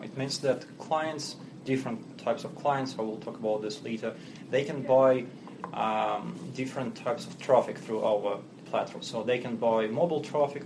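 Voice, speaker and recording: A man's voice: mid-range voice, noisy background. A man from Russia with a Russian accent, a company chief speaking as a podcast guest in plain businesslike talk with many fillers. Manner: fluent but non-native phrasing, businesslike, frequent fillers. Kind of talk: podcast interview.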